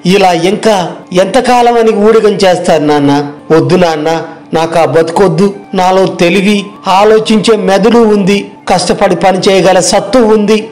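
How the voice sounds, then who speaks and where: A man's voice: high-pitched, measured pace, a little mellow, faint music behind. A man voicing an earnest, determined young village tea seller in an acted moral story. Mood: defiant, determined.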